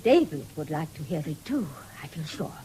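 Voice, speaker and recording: Posh gushy middle aged mother, very lively pitch, patriotic, old radio play with faint hiss. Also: normal speed, poor audio. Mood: gushing, earnest. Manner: effusive, pious, refined. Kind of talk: radio drama acting. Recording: 1970s radio broadcast with background noise.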